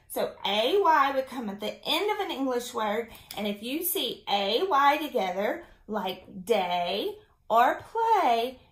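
A woman in her thirties speaks like an elementary teacher to young children. Her voice sits a little high and she goes very slowly, with a deliberate, encouraging manner suited to a kids' lesson. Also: fairly bright tone. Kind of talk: children's video mini lesson.

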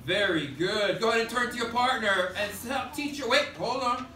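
A man's voice: very high-pitched, expressive, normal speed, sharp tone. A man, a third grade teacher, giving classroom instruction in a projected, directive way with short prompts and call-and-response. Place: school classroom, indoors. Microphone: distant camera at back of room. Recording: echoey classroom, distant mic, faint noise.

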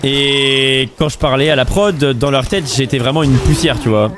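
A man's voice: medium pitch, normal speed, a bit bright, a little hiss or hum. A man hosting a stream, chatting casually while he plays a game, rambling with repeated words and fillers and cursing freely. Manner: swears casually. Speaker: streamer host. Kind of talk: casual chat while gaming.

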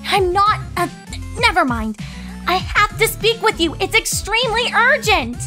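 A woman's voice: very high voice, big pitch swings, measured pace, over music, voice pushed up, full character voice. A woman voicing an earnest, anxious female unicorn, urgent and insistent with a raised voice. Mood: flustered, urgent.

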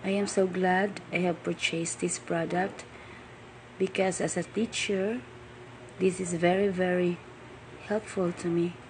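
A woman's voice: on the low side, flat delivery, slow, a little mellow, a little hiss or hum, poor audio. A woman teacher giving a casual spoken review of a product she bought.

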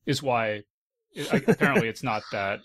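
A man hosting a podcast in casual chat, conversational and halting, often circling back on his words. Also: medium pitch, expressive. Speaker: podcast host.

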